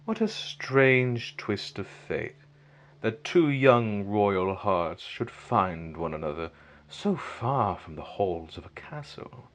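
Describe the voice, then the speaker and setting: Low-voiced, gloating wicked sorcerer. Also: male voice, lively intonation. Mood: smug. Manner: taunting, theatrical, drawn-out delivery with sinister chuckles. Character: gloating, mocking wicked male sorcerer villain. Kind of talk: fan audio drama acting.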